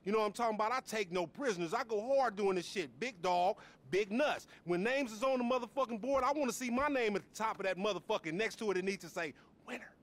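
An American man acting a loud, cocky, trash-talking young street hustler in a video game cutscene, brash and boastful with heavy slang. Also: sits high, expressive, quick pace, few pauses. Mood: indignant, boastful.

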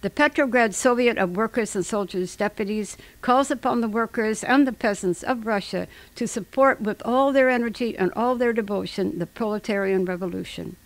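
A woman giving a dramatic radio reading of an eyewitness account, declamatory and measured, with deliberate pauses. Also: medium pitch, no room sound, low-quality recording.

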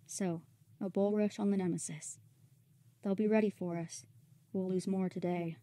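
A woman's voice: mid-range voice, fairly even intonation, moderate pace, dark tone, lightly acted. A woman playing a battle-hardened, bitter robot warrior, stern and weary, in a dramatic comic dub. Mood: resigned, cold.

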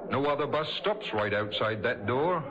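An actor playing a confident tavern landlord in a vintage radio drama, talking in clipped colloquial phrases. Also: male voice, mid-range voice, animated, moderate pace, close to an everyday voice. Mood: sure, helpful. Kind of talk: 1950s radio drama acting. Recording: muffled vintage radio, crowd behind.

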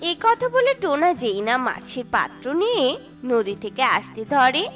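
A woman's voice: high voice, big pitch swings, moderate pace, a bit bright, faint music behind. A woman narrating a scripted children's story.

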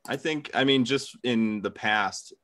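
A man's voice: mid-range voice, measured pace, fairly bright tone. A man giving his view as a debater on a podcast, in casual conversational style.

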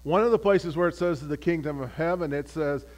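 Phlegmy pastor teaching Bible study. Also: male voice, on the high side, a little mellow. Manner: halting, repeats words, many fillers. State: phlegmy, clearing throat. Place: church. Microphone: camera.

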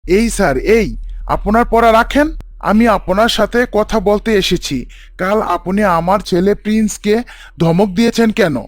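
A man's voice: high voice, moderate pace, dry recording, studio quality, close to an everyday voice. A man playing an arrogant local boss and angry father in a story, domineering and threatening. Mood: furious, threatening.

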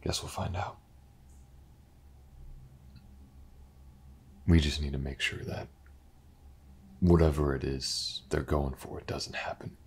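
A man playing a weary, skeptical detective in an audio roleplay, gruff but sincere, murmuring haltingly in a husky, gravelly voice. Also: very deep voice, fairly even intonation, a little mellow, heavily acted. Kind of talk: audio roleplay acting, intimate and hesitant.

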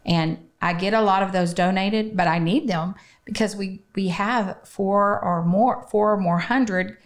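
A woman's voice: mid-range voice, unhurried. A middle-aged woman, answering interview questions conversationally as a guest from a children's shelter. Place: small podcast studio, indoors. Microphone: close studio microphone on boom arm.

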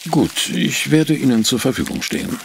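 Casting: inquisitive, slightly nervous sidekick, very animated, creaky voice, radio play, noisy backdrop. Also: male voice, mid-range voice, normal speed. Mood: curious, uneasy. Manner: questioning, eager, slightly puzzled. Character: curious, uneasy male assistant to a detective. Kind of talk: German audio drama acting.

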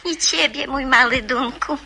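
A loving old grandmother in a radio play. She speaks slowly and solemnly in a high, sharp-edged voice full of tenderness. A light phonograph noise with clicks runs through the recording. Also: gravelly, exaggerated voice. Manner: slow, deliberate, tender. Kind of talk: radio drama acting.

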